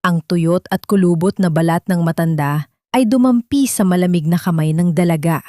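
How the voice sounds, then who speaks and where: A woman's voice: lowish voice, moderate pace, mellow, dry recording, clean studio sound. A woman narrating a scripted dramatic story as an audiobook narrator.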